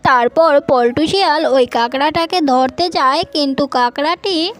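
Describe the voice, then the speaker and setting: High-pitched woman narrating a fairy tale. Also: moderate pace, soft background music. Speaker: storyteller, narrator.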